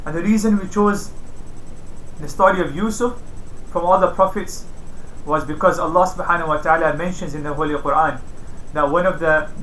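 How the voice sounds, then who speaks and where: A man's voice: fairly high pitch, normal speed, long pauses, fairly bright tone. A man telling religious stories as an informal lesson, explaining things and repeating phrases for emphasis. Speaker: storyteller and religious teacher hosting a series. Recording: roomy echo, faint background noise.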